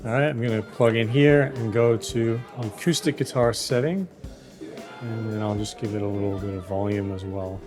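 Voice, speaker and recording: A man presenting an upbeat, clearly explained review and setup of a portable loudspeaker. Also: lowish voice, fairly even intonation, normal speed, music in the background. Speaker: product reviewer. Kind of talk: gear review and unboxing.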